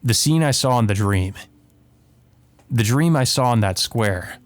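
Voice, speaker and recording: A man's voice: mid-range voice, expressive, measured pace, no room sound. A man hosting a let's play, reading visual novel narration aloud and adding casual commentary. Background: music behind the voice.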